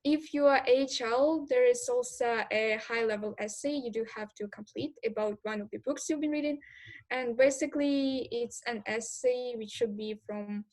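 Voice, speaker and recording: A young woman student explaining exam assignments to future students in a steady, methodical way. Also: a little high, normal speed. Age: young adult. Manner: steady, methodical, with occasional fillers. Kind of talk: informal explainer talk to prospective students.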